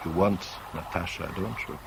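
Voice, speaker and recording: An actor playing an arrogant, calculating, suave manipulator in a 1970s radio drama, with an urbane, measured, condescending delivery. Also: male voice, deep voice, gravelly. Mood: evasive, commanding. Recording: hissy 1970s radio broadcast recording.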